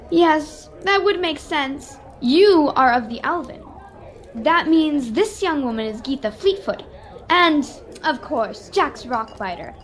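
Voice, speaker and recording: Woman acting high-voiced, courteous, mysterious adviser. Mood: courteous, knowing. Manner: formal, courteous, measured. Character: mysterious cloaked woman, well-spoken adviser and quest-giving patron. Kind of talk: radio-serial adventure acting. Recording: home video-call recording.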